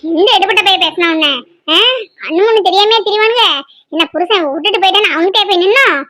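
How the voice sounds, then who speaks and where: A woman's voice: extremely high pitch, quick pace, pushed and bright, dry recording. A woman acting a feisty, protective village housewife in a drama, blunt and chatty. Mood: indignant.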